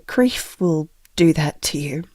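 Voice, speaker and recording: Audio drama gentle, sorrowful woman: mellow, hushed, very unhurried, sincere, reassuring someone she loves. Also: low-pitched, lively intonation, no echo, studio quality, full character voice. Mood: grieving, subdued. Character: sad, gentle woman bravely reassuring a loved one. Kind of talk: intimate audio drama monologue.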